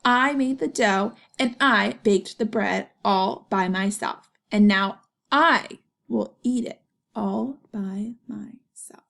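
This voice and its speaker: A woman's voice: mid-range voice, takes it slowly, vocal fry, fairly bright tone. A woman voicing a hardworking, indignant storybook hen in a firm, emphatic, sing-song way.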